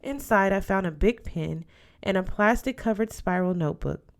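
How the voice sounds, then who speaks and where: Expressive woman reading a story aloud. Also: medium pitch, normal speed, gravelly. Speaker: audiobook reader. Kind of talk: expressive scripted first-person narration.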